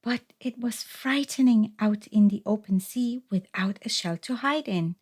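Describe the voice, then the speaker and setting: A woman reading a children's story aloud as narrator, in a deliberate, expressive storytelling manner. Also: mid-range voice. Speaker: storybook reader.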